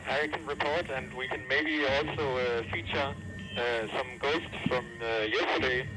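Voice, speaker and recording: A man giving an improvised radio report from outdoors, halting as he searches for words. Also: mid-range voice, fairly even intonation, over loud music. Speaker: field reporter. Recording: noisy outdoor field ambience. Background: outdoor background noise.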